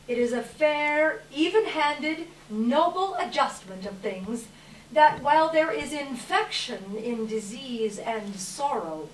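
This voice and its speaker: A woman reads scripted narration for a live audience reading. Her voice is a little high and very unhurried, with emphatic storytelling phrasing. She is heard from a distance in a roomy space. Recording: roomy, far from mic, faint noise.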